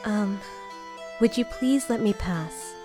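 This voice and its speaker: A woman's voice: medium pitch, takes it slowly, over music, natural voice. A woman voicing a polite, guarded young princess with formal, careful diction in a video game fan dub.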